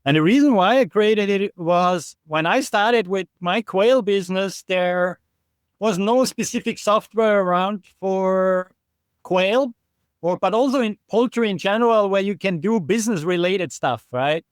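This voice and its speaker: A man's voice: high voice, unhurried, smooth, no room sound, studio quality. A man in his forties from Austria, explaining his app as a podcast guest in an earnest, chatty way. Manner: earnest, explanatory, with frequent restarts and "right?" tags. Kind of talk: casual interview chat.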